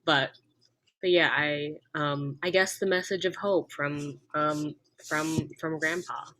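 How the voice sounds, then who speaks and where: A woman's voice: deep voice, steady tone, slow, a bit bright, poor audio. A young adult woman from the United States, an actor on a discussion panel, speaking thoughtfully and earnestly with frequent fillers.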